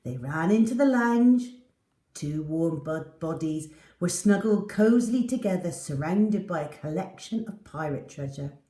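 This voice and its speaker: Unhurried woman storyteller in an echoey room. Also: fairly low pitch, lively intonation, dark tone, recorded from a distance. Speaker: children's storyteller. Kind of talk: animated children's storybook narration.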